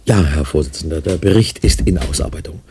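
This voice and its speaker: An actor playing a controlling, evasive doctor in a radio drama, speaking in a measured, officious and sometimes hesitant way. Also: male voice, very deep voice, warm tone, a little hiss or hum. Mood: deferential, officious. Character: evasive male doctor heading a secret experiment, controlling. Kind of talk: 1990s German radio drama acting.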